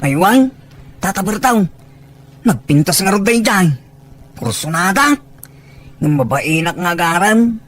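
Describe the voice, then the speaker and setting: A man plays a bashful young bachelor in a village comedy drama. His voice is high and bright, naive and lovestruck in its delivery. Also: lively intonation, unhurried, pauses often, heavily acted. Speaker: voice actor in an Ilocano audio drama. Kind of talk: dramatic comic dialogue.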